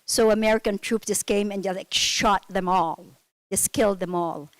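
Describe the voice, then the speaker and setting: A woman's voice: mid-range voice, steady tone, normal speed, no echo. A middle-aged woman from the Philippines, speaking on a conference panel and telling stories in an earnest, candid, self-critical way.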